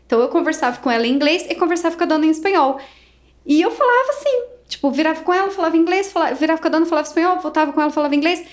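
Woman, Brazilian accent, high voice, animated, casual storytelling, podcast chat. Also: racing. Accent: Brazilian Portuguese. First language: Portuguese. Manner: animated, acts out quoted voices. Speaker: podcast guest, English teacher.

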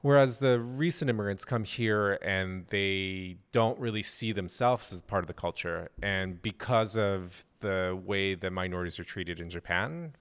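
A man hosting a conversational interview, speaking in a measured, thoughtful way. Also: low voice, fairly bright tone, clean tone. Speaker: interviewer, host.

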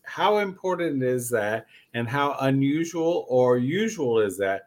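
A man's voice: mid-range voice, expressive, a little slow. A man hosting a casual interview podcast, effusive and playful in the way he talks.

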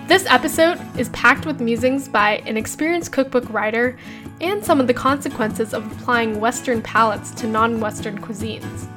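Female radio podcast host, scripted narration, measured read over background music. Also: fairly high pitch. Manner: measured, read-aloud delivery.

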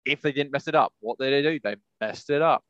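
A man's voice: medium pitch, fast, a bit bright, no echo, muffled recording. A man chatting casually as a podcast co-host, rambling and jumping between thoughts. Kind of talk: casual chat about video game movies.

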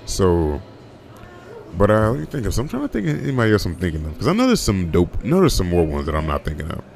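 A young man with a deep voice hosting a casual solo podcast, rambling with many restarts and fillers. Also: wildly swooping pitch, fast, vocal fry, a little mellow. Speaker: podcast host.

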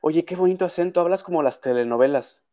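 A man's voice: a little high, fast talker, warm tone, lo-fi sound. A young adult man from Mexico City with a Mexico City accent, hosting a casual interview podcast and talking in a chatty way with many fillers and restarts. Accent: Mexico City Spanish. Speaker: podcast host.

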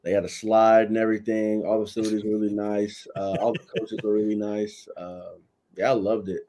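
Laid-back young man with a deep, dark voice. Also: flat delivery, a little fast. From Florida. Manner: laid-back, chatty, lots of fillers. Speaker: college football player guest. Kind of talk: casual podcast interview.

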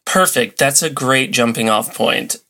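A man with a bright, crisp-edged voice and a middling pitch talks as an enthusiast guest on a podcast. He explains in a loose, conversational way, with colloquial phrasing and clear keenness. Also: measured pace. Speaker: podcast guest, old-time radio enthusiast. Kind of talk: casual explanatory chat. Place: remote video call.

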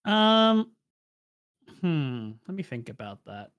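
A man's voice: a little high, very animated, slow, a bit bright, clean tone, dry recording. A young man with an Australian accent, answering interview questions in a casual, reflective way with many fillers.

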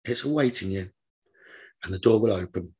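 A man leading a guided meditation as a teacher, giving gentle, repetitive instructions in a slow manner. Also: on the low side, breathy edge.

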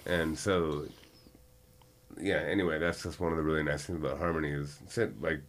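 A man's voice: rumbling low, flat delivery, fairly quick. A man in a band explaining thoughtfully and earnestly during a casual interview.

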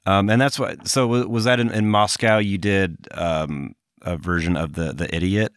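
Very low-voiced host, dry and close. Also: fairly even intonation, normal speed, no room sound. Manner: hesitant, restarts often, laughs along. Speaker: interview host. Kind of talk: casual interview.

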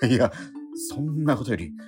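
An actor playing a struggling painter in a radio drama, muttering to himself and stammering when startled. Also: male voice, mid-range voice, lively intonation, a little slow, over music, natural voice. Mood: astonished. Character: struggling unsold painter, man, puzzled and easily startled. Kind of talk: first-person radio drama, narrating and acting.

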